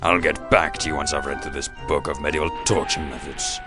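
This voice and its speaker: A man's voice: extremely low pitch, steady tone, fairly quick, music in the background. A man acting a pompous, stern and irascible village mayor in a fan radio play, with formal, sarcastic scolding.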